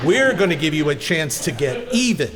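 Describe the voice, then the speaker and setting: Actor as a high-voiced, animated 1950s quiz host. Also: male voice, normal speed, crowd noise. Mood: showy. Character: brisk 1950s quiz show host tormenting his contestant. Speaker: game show host. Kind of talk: 1950s radio comedy acting.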